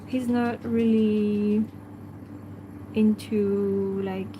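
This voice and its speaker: French woman, native french speaker, hosting a pet stream, slow halting talk with lots of uhs, noisy background. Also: mid-range voice, little pitch movement, phone-quality sound. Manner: hesitant, frequent fillers, talks softly to her pet. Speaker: pet livestream host. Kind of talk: casual livestream chat answering viewers.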